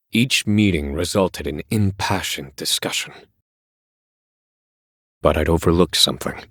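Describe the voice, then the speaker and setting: A man playing a stern, intense scholar of magic in a video game, blunt, measured and exacting. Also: low voice, no echo, clean studio sound. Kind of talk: dramatic game voice acting with brooding narration.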